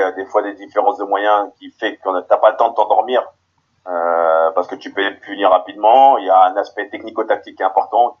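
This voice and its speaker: A man from Paris, a guest on a football podcast, answering in a halting way with many restarts, heard over a remote call. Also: on the low side, highs cut off. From Paris, France.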